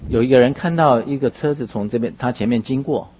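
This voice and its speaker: A man interpreting a sermon phrase by phrase, husky and somewhat halting, rephrasing as he goes. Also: medium pitch, a little mellow.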